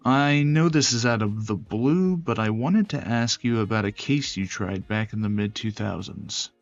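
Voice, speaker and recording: A man voicing a polite, reserved young male prosecutor in a visual novel, speaking in a measured, earnest way. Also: lowish voice, lo-fi sound, soft background music, natural voice. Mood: polite, businesslike. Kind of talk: visual novel character voice acting.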